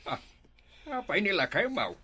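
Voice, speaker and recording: Older busybody neighbor for village comedy male actor: husky, bright-edged voice, exclamatory and meddling. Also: sits high, sing-song, normal speed, heavily acted. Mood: curious. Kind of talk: Ilocano barangay comedy drama acting.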